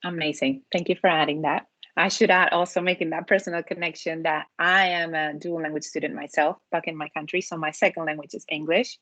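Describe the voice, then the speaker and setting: A woman's voice: low-pitched, fairly even intonation, a little fast, a bit bright, no echo. A woman from Spain, a native Spanish speaker, presenting a language program over a video call, with frequent ums and restarts as she explains.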